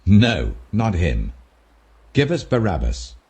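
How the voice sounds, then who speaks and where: A British man voicing hostile, indignant officials with a husky voice and a forceful, accusatory manner in a scripture audiobook. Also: low voice, wildly swooping pitch, slow pace, voice pushed up, exaggerated voice. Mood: shouting demand.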